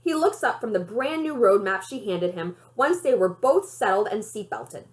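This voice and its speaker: A woman reading a children's novel aloud in an expressive storytelling style. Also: mid-range voice, moderate pace. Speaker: library read-aloud reader.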